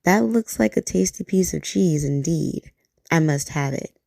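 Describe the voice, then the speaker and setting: A woman voicing a sly male fox in a bedtime storybook, talking in a sweet, flattering, cunning way. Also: low voice, unhurried, gravelly, no room sound, studio quality, exaggerated voice.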